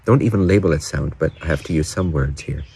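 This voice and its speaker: Low-pitched man guiding a meditation. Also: dark tone, a little hiss or hum. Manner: unhurried, pausing between short phrases. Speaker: meditation guide. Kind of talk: guided meditation. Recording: birdsong and faint outdoor ambience behind. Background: doves, frogs, birds and distant cars.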